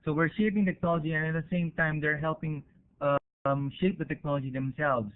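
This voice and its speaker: A man with a Filipino accent and a slightly high voice presents research in an informal, explanatory way. He speaks hesitantly and pauses to check that listeners follow. The sound is thin and narrow, as over an internet call. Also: steady tone. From Philippines.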